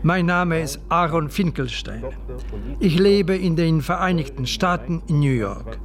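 An emigrant letter writer in a radio play. He reads formally in a fairly high voice. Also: expressive, a little slow. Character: formal male emigrant writing to a radio station. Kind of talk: letter reading.

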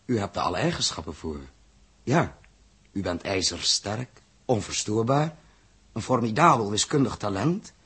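An actor in a 1960s radio drama playing a calm, reasoning spaceship captain with a husky voice. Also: male voice, on the low side, normal speed, exaggerated voice. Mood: thoughtful.

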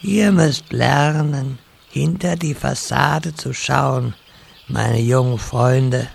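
A man's voice: mid-range voice, slow pace, creaky, slightly rough, exaggerated voice. A man playing an old, cryptic wizard mentor in a German comedy fantasy audio drama, lecturing in a portentous way. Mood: impatient.

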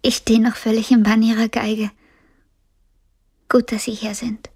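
Radio drama role: young blind woman musician, exhausted and plaintive, husky-breathy, higher voice. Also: little pitch movement, unhurried, a little mellow. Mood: quietly eager.

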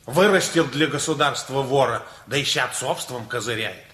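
A man's voice: mid-range voice, moderate pace, a bit bright, natural voice. A male actor in a radio play, playing a contemptuous, abrasive butcher with a cutting, accusatory manner. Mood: scornful. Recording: echoey room.

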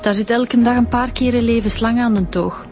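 A woman playing a mellow-voiced Flemish inspector. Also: on the high side, fairly even intonation, normal speed, soft background music, close to an everyday voice. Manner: matter-of-fact reporting. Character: brisk female police inspector reporting findings. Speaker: police inspector. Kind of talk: radio drama acting.

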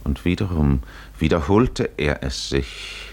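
A man with a deep voice narrates a literary radio drama in the style of the 1950s. He speaks slowly and musingly, measuring out his phrases with deliberate pauses. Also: animated, a little mellow.

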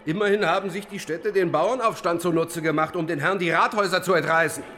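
High-voiced fiery revolutionary preacher. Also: male voice, fast, pushed and bright, soft background music. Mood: fervent, defiant. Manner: impassioned, insistent, declamatory. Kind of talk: historical audio drama dialogue.

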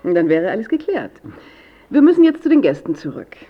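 An actress playing a controlling, businesslike middle-aged daughter guarding her father in a radio drama, brisk and formal. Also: medium pitch, very animated, breathy edge, muffled recording, soft background music.

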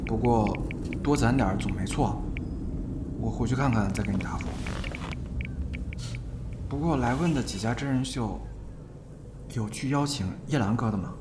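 A man's voice: medium pitch, normal speed, pauses often, noisy background, lightly acted. An actor playing a polite, smitten young male film star in a Mandarin audio drama, speaking hesitantly. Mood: curious. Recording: loud music behind the voice.

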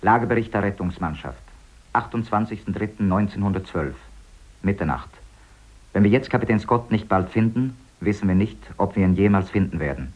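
A sober rescue expedition leader acted by a man dictates a report in a lowish voice, formal and telegraphic. It is 1950s radio drama with a dull, narrow old sound. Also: a little fast, natural voice. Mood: sober, urgent. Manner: matter-of-fact, telegraphic, formal. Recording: dull, band-limited old radio recording.

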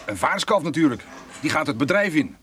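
Gruff, animated Dutch farmer. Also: male voice, on the high side, fairly quick, noisy background. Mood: relieved, businesslike. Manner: brusque, swearing, commanding. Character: gruff, impatient male dairy farmer. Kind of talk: Dutch radio play acting.

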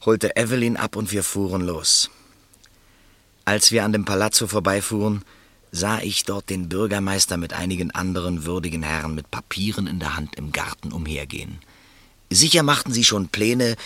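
A man narrating a radio play in first person, calm and reflective. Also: deep voice, dry recording.

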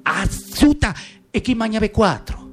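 Actor voicing a ranting Roman crocodile agitator, highish voice, music behind. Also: male voice, big pitch swings, measured pace. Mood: indignant. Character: shrill socialist male crocodile, fiery agitator.

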